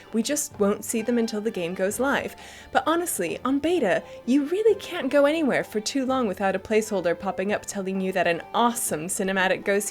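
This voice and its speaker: A woman giving chatty, enthusiastic and emphatic scripted commentary as the host of a gaming news show. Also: medium pitch.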